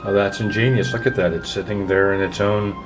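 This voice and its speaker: A man's voice: fairly low pitch, steady tone, brisk, over music. A man working as a reporter, doing an on-location interview at a show. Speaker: magazine reporter interviewing exhibitors. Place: exhibit room at an audio show.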